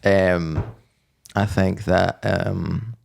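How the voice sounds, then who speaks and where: A man in his thirties with a low voice, co-hosting a casual, comedic chat about music. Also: little pitch movement, very slow, creaky. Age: 30s. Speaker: podcast co-host.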